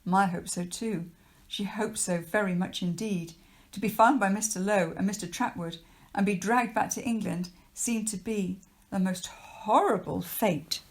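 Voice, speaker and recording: Woman reading a storybook, mid-pitched. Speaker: teacher reading to pupils. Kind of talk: storybook narration read aloud.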